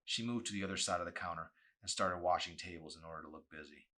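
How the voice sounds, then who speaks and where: A man reading a novel aloud as expressive narration, with an animated, emphatic delivery. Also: low voice, fast talker. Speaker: author reading own novel.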